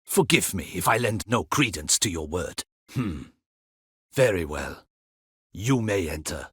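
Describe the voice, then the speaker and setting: An actor playing a proud, stern captain of a fantasy ducal guard in a video game, speaking formally in clipped, commanding, archaic courtly phrases. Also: male voice, sits low, sing-song, unhurried, husky, no room sound, studio quality, heavily acted. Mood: curt, guarded. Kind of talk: dramatic video game voice acting.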